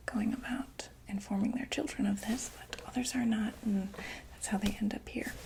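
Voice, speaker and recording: A woman playing a calm doctor for monsters, asking gentle, patient questions in a soft unvoiced whisper for an ASMR roleplay. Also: a little high, creaky, a little hiss or hum, poor audio.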